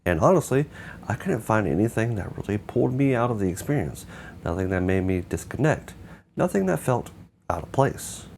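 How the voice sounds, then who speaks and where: A man with a fairly low voice hosts a review in a semi-scripted narrating style. His intonation moves widely, with emphatic stress on key phrases. A light layer of background noise sits under the recording. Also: very animated, moderate pace, gravelly, a little mellow. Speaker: host of a video game and film review show.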